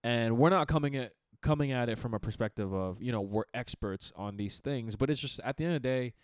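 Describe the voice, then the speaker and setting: A young man co-hosting a casual podcast chat, with a deep radio-type voice, animated and quick to laugh. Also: dry recording, clean studio sound. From Chicago area. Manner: animated, laughs often, talks in bursts with restarts. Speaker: podcast co-host.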